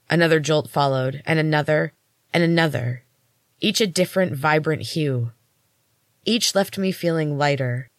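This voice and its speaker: A woman's voice: deep voice, no room sound, clean studio sound. A woman hosting a podcast and reading her own fiction aloud as a narrator. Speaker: podcast host and author reading her novel. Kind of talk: audiobook-style story narration.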